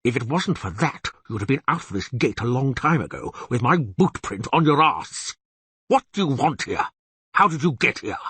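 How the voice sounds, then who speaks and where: A man voicing a huge, blustering, irascible bear-headed monster in a gruff, raspy storybook reading. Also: mid-range voice, sing-song, moderate pace, sharp tone, dry recording, full character voice. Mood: grumpy. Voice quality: raspy, roaring. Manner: blustering, indignant, theatrical.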